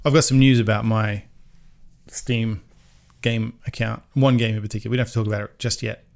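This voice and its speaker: A man's voice: on the low side, a little fast, creaky. A man in his thirties from Western Sydney with a mild Australian accent, chatting loosely as a podcast co-host and often trailing off and restarting. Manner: relaxed, self-mocking, trails off and restarts. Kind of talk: casual chat.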